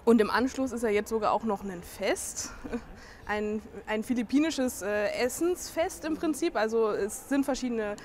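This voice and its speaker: A woman hosting a podcast, calmly asking clear interview questions. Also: a little high, normal speed, slight background noise. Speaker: podcast host.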